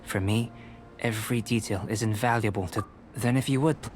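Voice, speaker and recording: An actor playing a calm, analytical young male researcher in a video game, speaking in a measured, formal, polite way. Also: on the low side, noisy background, close to an everyday voice. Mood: composed, clinical. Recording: music behind the voice.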